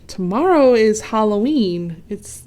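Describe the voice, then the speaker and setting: A woman voicing an excitable young male duck in a children's storybook reading, eager and chirpy. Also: medium pitch, takes it slowly.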